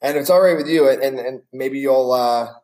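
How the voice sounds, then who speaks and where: A man's voice: mid-range voice, moderate pace, a little mellow, poor audio. Casual male podcast host. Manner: casual, says "man" a lot. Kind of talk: scripted interview questions.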